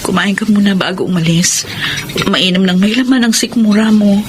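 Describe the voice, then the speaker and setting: A woman with a Filipino accent acting in a radio drama as a gentle, polite, grieving woman, with emotive delivery. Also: medium pitch, normal speed, background noise, lightly acted. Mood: gentle, comforting.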